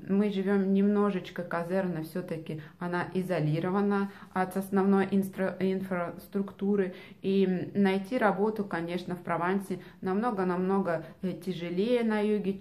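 A woman's voice: fairly low pitch, a little mellow. A woman in her thirties answering viewer questions to camera, speaking thoughtfully with many hesitations and filler sounds. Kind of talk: casual Q&A vlog. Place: small room indoors. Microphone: camera at arm's length.

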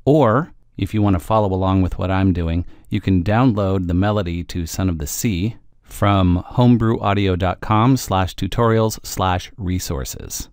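A man presenting a software tutorial, explaining step by step in a conversational way with wry asides. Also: deep voice, dry recording, studio quality.

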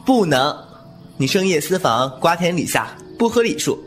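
一名男演员在武侠广播剧中饰演一位莽撞顽皮、言辞犀利的年轻侠客。. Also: male voice, a little high, big pitch swings, normal speed, soft background music. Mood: mocking. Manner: impulsive, sharp-tongued, irreverent. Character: brash, cheeky young male martial-arts hero. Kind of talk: Chinese wuxia audio drama acting.

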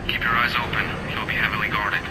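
An actor playing a loyal, cautious soldier comrade in a video game, advising in a plain manner. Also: male voice, on the low side, flat delivery, a little fast, natural voice. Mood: concerned. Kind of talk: cinematic game voice acting. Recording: loud engine-like noise.

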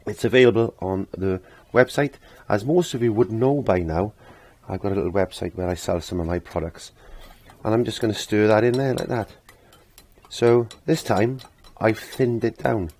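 Croaky, low-voiced man teaching painting. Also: moderate pace, a little mellow. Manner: rambling, self-talking, repeats phrases. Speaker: painting instructor. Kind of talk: casual step-by-step painting demonstration. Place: indoor home art studio.

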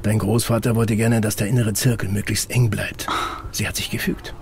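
An actor playing a guarded middle-aged investigator in an audio drama, explaining things in a terse, matter-of-fact way. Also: male voice, lowish voice, level tone, normal speed, a little mellow, close to an everyday voice. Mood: measured, guarded. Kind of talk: German audio drama dialogue.

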